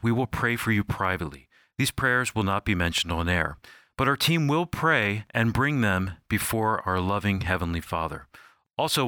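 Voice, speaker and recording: A man's voice: on the low side, pushed and bright, no echo, clean studio sound. A man announcing a radio program in a scripted style, recorded at home. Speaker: radio show announcer and co-host. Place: remote home recording, dry.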